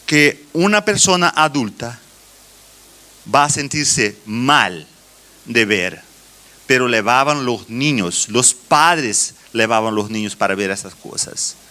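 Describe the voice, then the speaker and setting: A middle-aged man with a Brazilian Portuguese accent giving a church conference address in halting phrases with deliberate pauses. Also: mid-range voice, lively intonation, bright, forceful tone. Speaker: conference speaker, children's ministry teacher.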